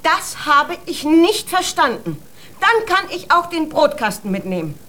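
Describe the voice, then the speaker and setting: A woman's voice: sits high, sing-song, measured pace, fairly bright tone, heavily acted. An actress playing a poor, sentimental mother made queen, plaintive and fussing, in a children's radio play. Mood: wistful.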